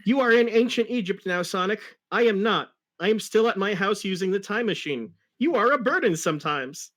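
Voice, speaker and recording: Casting: man as bossy young cartoon fox sidekick, high voice, earnest and exasperated, very animated delivery. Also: a little fast. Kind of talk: amateur dramatic reading of fan fiction.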